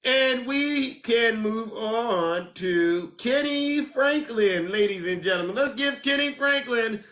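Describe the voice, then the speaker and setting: Booming bright-voiced male show host. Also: lively intonation, takes it slowly. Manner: theatrical, booming announcer-style. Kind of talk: live call-in show hosting.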